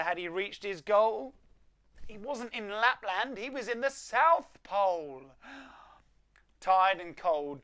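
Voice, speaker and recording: A performer reading a rhyming storybook aloud to children at home, with theatrical pauses and emphasis. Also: medium pitch, fairly bright tone.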